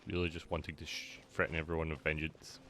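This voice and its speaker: Deep-voiced man streaming with wry asides. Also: little pitch movement, fast. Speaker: game streamer. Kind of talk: casual live gameplay commentary.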